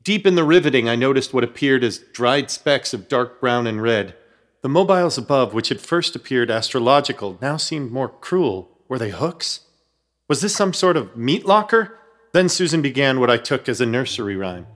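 A man's voice: medium pitch, animated, normal speed, fairly bright tone. A man narrating and starring in a horror comedy radio play, with theatrical, urgent storytelling. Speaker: narrator and protagonist.

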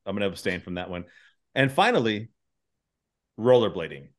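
A man's voice: on the low side, sing-song, normal speed. A man hosting a podcast interview, chatting in an informal, effusive way. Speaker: podcast host. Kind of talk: casual interview chat.

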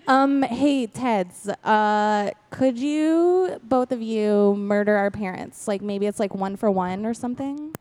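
A woman's voice: medium pitch, slow, few pauses, darkish tone, natural voice. A woman playing a bratty, scheming teenage daughter in improvised comedy, gushing in a valley-girl way. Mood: excited. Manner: gushing, valley-girl filler-heavy delivery. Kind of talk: improvised comedy parody of radio play.

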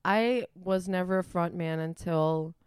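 A woman's voice: lowish voice, a little slow, a little mellow, clean tone, no echo, clean studio sound. Chatty woman with a Wisconsin accent. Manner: chatty, frequent "like" and "um", laughs easily. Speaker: musician guest. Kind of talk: casual interview chat.

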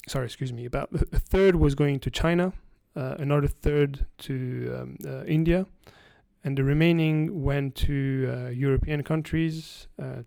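A man from France, a guest analyst giving explanatory interview answers, halting with frequent restarts. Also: mid-range voice, gravelly, warm tone, dry recording, clean studio sound.

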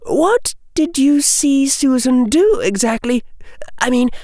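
A woman voicing young witch schoolgirls in a story, earnest and excitable, with youthful, exclamatory delivery. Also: high voice, takes it slowly, no room sound, clean studio sound. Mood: earnest, anxious. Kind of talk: audiobook-style character dialogue.

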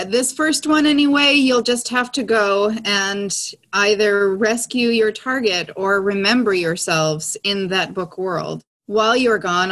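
A woman improvising in character on a tabletop roleplay podcast, speaking in a measured, somewhat formal manner. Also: medium pitch, fairly bright tone. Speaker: player in an actual-play audio drama podcast.

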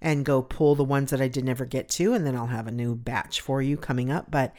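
Woman host with a super low resonant voice, rambly and chatty, pokes fun at herself. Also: steady tone, a little fast, darkish tone. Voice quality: deep resonant voice. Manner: rambling, chatty, self-deprecating. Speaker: podcast host. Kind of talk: casual solo podcast talk.

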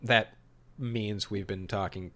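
A man's voice: lowish voice, steady tone, slow pace, fairly bright tone. A man hosting a podcast, moving between reading out summaries and emphatic, opinionated commentary with some mild swearing. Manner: opinionated, emphatic, mildly profane. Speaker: podcast host. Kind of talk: podcast talk mixing read-out storyboard summary and casual commentary.

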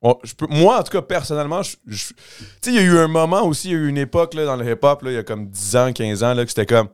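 A man's voice: a little high, lively intonation, very fast, clean tone. A young man with a Quebec French accent chatting casually on a podcast, slangy, often restarting his sentences and mixing in English words. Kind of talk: casual chat.

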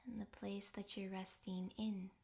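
A woman guiding a relaxation meditation in a soft, breathy, half-whispered voice, gently and with long pauses. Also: medium pitch, level tone. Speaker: yoga nidra meditation guide.

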